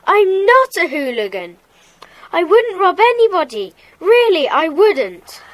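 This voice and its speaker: A woman's voice: extremely high pitch, big pitch swings, measured pace, no room sound, full character voice. A woman playing a young schoolboy in a children's audio drama, protesting in a nervous, plaintive way. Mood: frightened, pleading.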